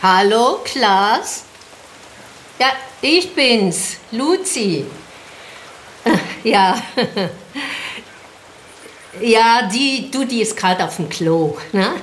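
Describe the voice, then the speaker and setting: A wry, squeamish villager. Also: female voice, a little high, lively intonation, fairly bright tone, roomy sound, a little hiss or hum, heavily acted. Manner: joking, dry, unhurried.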